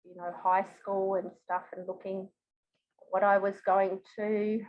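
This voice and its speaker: A woman's voice: medium pitch, level tone, unhurried, lo-fi sound. A woman in her fifties with a New Zealand accent, chatting expressively and telling stories casually as an interview guest. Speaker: podcast guest.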